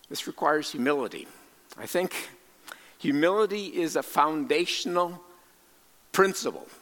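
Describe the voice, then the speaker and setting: Animated male preacher in a reverberant hall. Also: medium pitch. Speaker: preacher and cross-cultural missionary. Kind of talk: sermon with scripture quotations and rhetorical questions.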